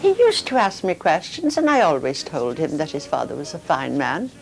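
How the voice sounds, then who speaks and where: An older woman speaking softly and hesitantly in a documentary interview, emotional as she remembers her son. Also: sits low, big pitch swings, moderate pace, gravelly, poor audio. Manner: soft, hesitant, emotional reminiscing. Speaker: interviewee, mother of the subject.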